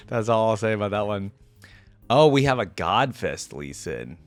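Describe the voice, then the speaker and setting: A man's voice: on the low side, big pitch swings, unhurried, no echo, faint music behind. A man giving casual reaction commentary in an informal, slangy gamer way. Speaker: reaction video host.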